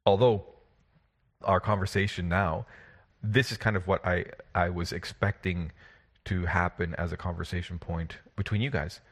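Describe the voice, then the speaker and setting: A man who runs tabletop games, chatting casually and telling animated stories with casual swearing and lots of repeats. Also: low-pitched, normal speed. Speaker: game master and podcast host. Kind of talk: casual chat.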